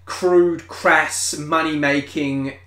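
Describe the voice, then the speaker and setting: A man's voice: fairly high pitch, steady tone, very slow, fairly bright tone. A man hosting a podcast, reading scripted commentary and then chatting casually through listener comments. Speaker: podcast host.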